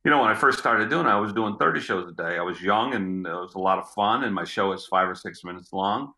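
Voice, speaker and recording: A middle-aged man with a gruff voice, a street magician answering interview questions in a rambling way, often repeating words as he thinks. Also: low-pitched, fast talker, bright, forceful tone, reverberant, low-quality recording.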